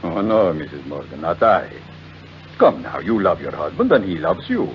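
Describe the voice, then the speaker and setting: An actor playing a coolly polite, menacing blackmailer in 1940s radio mystery style, with oily, formal, deliberate phrasing. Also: male voice, low-pitched, big pitch swings. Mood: threatening, mocking. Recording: muffled old radio recording with noisy hum.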